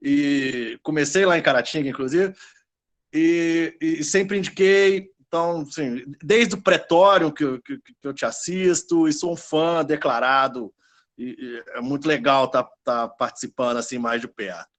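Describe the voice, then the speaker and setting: A man's voice: a little high, moderate pace, fairly bright tone. A man from Minas Gerais, a law professor, chatting in a friendly way on a video call webinar. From Minas Gerais, Brazil. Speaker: law professor asking questions. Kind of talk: casual webinar chat.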